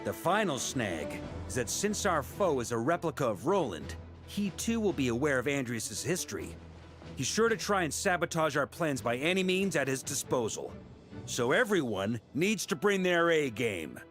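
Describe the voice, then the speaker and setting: An actor playing a seasoned, confident knight commander laying out a plan. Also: male voice, medium pitch, moderate pace. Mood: commanding. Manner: steady, assured, commanding. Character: seasoned male knight commander, calm planner. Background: game music.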